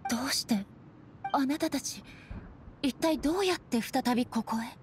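A woman's voice: high-pitched, moderate pace, slight background noise, lightly acted. An actress playing a resolute, reflective female deity and swordswoman in a Japanese game, with polite, formal, earnest speech. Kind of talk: Japanese anime game acting. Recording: faint game music behind the voice.

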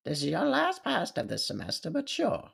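A woman voicing an exasperated, sarcastic high school teacher in a comic dub cartoon, weary and scolding. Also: wildly swooping pitch, moderate pace, vocal fry, breathy edge, faint music behind, heavily acted. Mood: stern.

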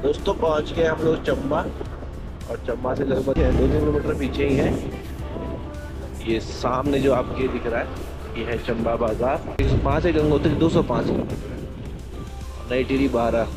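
A man's voice: mid-range voice, fairly even intonation, normal speed, pauses often, low-quality recording. Man vlogging over music. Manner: narrates surroundings while riding, addressing viewers. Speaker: travel motovlogger. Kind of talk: casual vlog commentary. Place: outdoors on a motorcycle on mountain roads. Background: music and vehicle sound.

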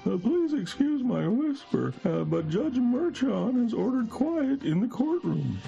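A man puts on a pompous radio-comedy news correspondent, speaking in a hushed voice that swoops widely at a very high pitch. The tone is very dark, and the muffled recording has music behind it. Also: gravelly, exaggerated voice. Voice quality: hushed, half-whispered. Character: solemn, self-important male courtroom news reporter. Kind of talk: whispered mock news report.

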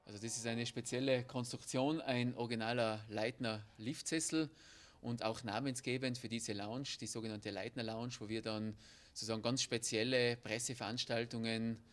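A man in his thirties explaining a media center in a brisk interview answer. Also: mid-range voice, fairly even intonation.

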